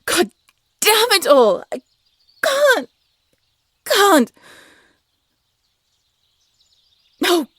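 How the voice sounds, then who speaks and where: A woman playing a blunt, sarcastic young huntress, a soft-hearted failed assassin, in an audio roleplay drama. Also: extremely high pitch, big pitch swings, extremely slow, dry recording, clean studio sound, voice pushed up, heavily acted. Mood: frustrated, anguished.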